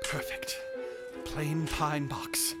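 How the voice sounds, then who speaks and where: A man's voice: fairly high pitch, level tone, drawn-out words, loud music behind the voice. An actor playing a cold, arrogant young male medical student in a radio drama, clipped, clinical and condescending. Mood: driving. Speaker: reanimating scientist.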